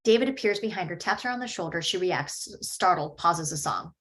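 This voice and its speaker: Woman reading stage directions, lowish voice, steady and matter-of-fact. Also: brisk.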